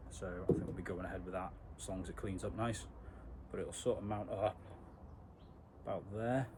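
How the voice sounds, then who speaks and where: A man's voice: on the low side, mellow, slight background noise. A man giving a casual, matter-of-fact hands-on car tutorial, explaining things step by step in informal words. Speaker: DIY car mechanic vlogger.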